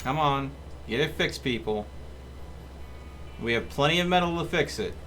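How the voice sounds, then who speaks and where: A man streams a video game with casual running commentary. His voice moves around a lot in pitch as he mutters to himself and dramatizes on-screen text. Music plays clearly behind him. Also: lively intonation, moderate pace, a bit bright. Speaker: gaming streamer. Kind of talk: casual live gameplay commentary, reading game text aloud.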